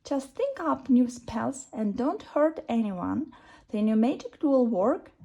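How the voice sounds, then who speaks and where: A woman's voice: a little high, sing-song, takes it slowly, vocal fry, dark tone, slightly rough, heavily acted. A woman playing a kind, earnest young girl. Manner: earnest, pleading. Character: kind young girl, calm and gently persuasive.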